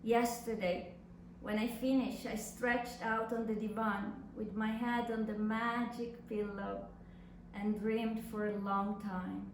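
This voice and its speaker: A woman's voice: mid-range voice, level tone, slow. A woman reading love letters as a languid, ardent male poet, lyrical and dreamy. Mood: dreamy ardour. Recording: echoey room from far off, faint noise.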